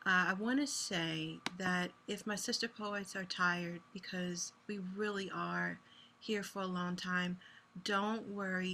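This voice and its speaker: A woman with a soothing, bright, clear tone hosts a poetry gathering over a video call. She speaks gently and sincerely and reassures her listeners. She also recites her own spoken-word pieces. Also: mid-range voice, a little hiss or hum. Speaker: host and poet.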